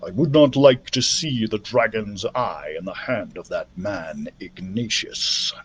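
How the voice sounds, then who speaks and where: A grave storybook dragon, acted out by a man, low and hushed. The creature speaks slowly and reluctantly, weighing each word with solemn weariness. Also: husky. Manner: slow, hushed, measured.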